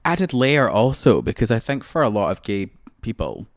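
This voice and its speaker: A Scottish man in his late twenties with a lowish voice, rambling through casual podcast chat with jokes at his own expense. The sound is dry and close, with no room around it. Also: big pitch swings, normal speed, no room sound. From Scotland. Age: late 20s. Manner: rambling, joking, self-deprecating. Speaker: podcast co-host.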